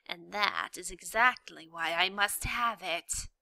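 A woman's voice: on the low side, vocal fry, dry recording, heavily acted. A woman voicing a sly whispering rat. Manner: slow, hushed, whispery. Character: sly, soft-spoken male dungeon rat.

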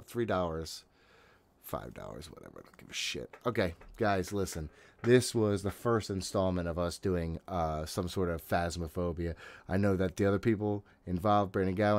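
Low-voiced man, profane gamer. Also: lively intonation, normal speed, darkish tone, no echo. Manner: profane, jittery, talking to himself. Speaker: gaming host. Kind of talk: casual gameplay commentary. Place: room at home.

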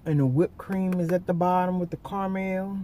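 A woman's voice: lowish voice, steady tone, a little fast. A middle-aged woman chatting casually to camera in an emphatic, sassy way, telling stories as a vlogger in her car. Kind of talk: casual chat to camera, storytelling. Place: inside a car. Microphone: phone at arm's length or dash-mounted. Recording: distant mic, loud car and outdoor noise.

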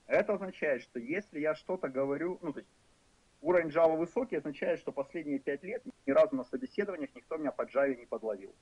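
A man's voice: fairly high pitch, lively intonation, fast talker, breathy edge, no echo. A man in his thirties with a Ukrainian accent, giving a programming lecture in a frank, wry, loosely rambling way with fillers. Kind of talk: conversational lecture. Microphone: voice-over for a screen recording. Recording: dull lo-fi lecture recording.

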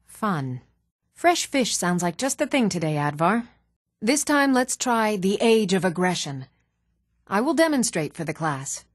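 An actress voicing a composed, earnest woman in a fantasy video game, speaking in a measured, restrained way with clear enunciation. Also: mid-range voice, dry recording, studio quality. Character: even-toned adult woman of a fantasy world, composed and earnest. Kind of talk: scripted video game character dialogue.

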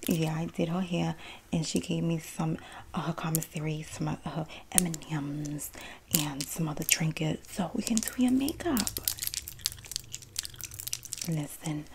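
A young woman acting a sassy, streetwise female inmate who comforts her bunkmate in a breathy whispered ASMR roleplay. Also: deep voice. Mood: confiding. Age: young adult. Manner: sassy, streetwise slang, drawn-out terms of endearment. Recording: close mic with clear background noise.

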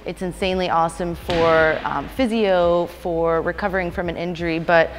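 A woman, chatting informally and brightly as a workout host. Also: lowish voice, steady tone, normal speed, slight background noise. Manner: upbeat, informal, slangy. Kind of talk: casual chat to camera. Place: gym. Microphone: lapel mic. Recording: echoey gym, music behind.

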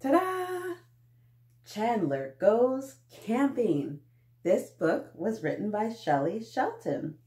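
A woman in her thirties hosting story time for small children, with an animated, sing-song way of talking. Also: on the high side. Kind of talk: read-aloud for young children. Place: small indoor room with painted mural.

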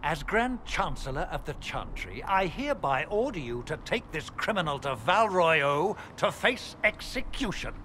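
An actor playing an angry, self-righteous and pompous church official in a fantasy game. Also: male voice, a little high, sing-song, normal speed, hardly any pauses, bright, forceful tone, slight background noise. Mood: indignant, angry. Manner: pompous, indignant. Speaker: chancellor of a church.

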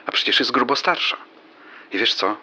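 A man's voice: medium pitch, moderate pace, pushed and bright, a little hiss or hum, rough recording. An actor playing an easygoing, conciliatory middle-aged father in a naturalistic audio drama. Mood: confiding.

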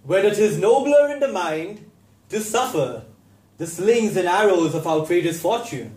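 Man acting as self-doubting young male stage actor, slow halting delivery, sudden intense bursts, confessional theatre monologue, roomy echo. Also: sits high, very animated, a little mellow, pitched above the performer's own voice. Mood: resolute. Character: anxious self-deprecating young male stage actor recounting his Hamlet. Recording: echoey room.

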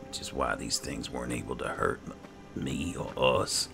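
A man giving roleplay game commentary and reading item text aloud, muttering to himself in an unhurried way. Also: very deep voice, steady tone, creaky. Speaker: gaming video host. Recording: game music behind voice. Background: video game music.

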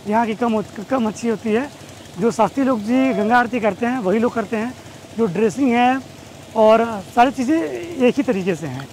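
A man, explaining things as a travel guide to camera, with pauses and English words mixed in. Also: extremely high pitch. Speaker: tour company host and guide. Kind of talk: informational travel vlog to camera. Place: outdoors on open riverside steps. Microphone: clip-on wireless lapel mic. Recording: noisy outdoor ambience, distant engines.

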